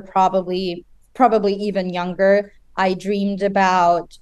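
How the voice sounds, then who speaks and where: A woman's voice: fairly low pitch, darkish tone, smooth, no echo. Earnest young woman from Chile. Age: 20s. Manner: earnest, halting with restarts. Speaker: guest. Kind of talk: interview.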